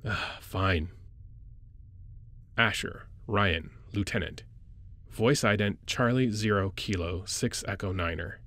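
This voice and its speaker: A man playing a caring, playfully gruff military medic lieutenant in an intimate audio roleplay, with an informal, hesitant, teasing delivery. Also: fairly low pitch, slow pace, pauses often, a bit bright. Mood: exasperated.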